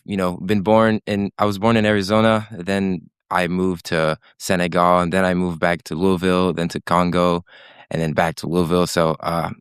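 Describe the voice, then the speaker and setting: A young man speaks hesitantly as a podcast guest, often pausing on "uh." His voice is very deep and smooth. The sound is dry and close. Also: fairly bright tone, no echo. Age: late teens. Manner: hesitant, many "uh" and "um" fillers. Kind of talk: casual chat.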